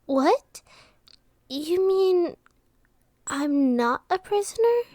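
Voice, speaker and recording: A woman plays a guarded teenage village girl with magic. Her voice is high and she speaks slowly and hesitantly, wary and defiant, in a dry, close audio drama recording. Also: expressive, a little mellow, dry recording, exaggerated voice. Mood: wary, disbelieving. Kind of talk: scripted audio roleplay acting.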